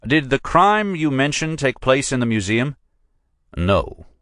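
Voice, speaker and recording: A man's voice: medium pitch, sing-song, measured pace, fairly bright tone, dry recording, clean studio sound, voice pushed up. A man voicing a curt, pompous museum lawyer who talks down to his visitors and turns them away. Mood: dismissive. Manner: brusque, dismissive, pompous.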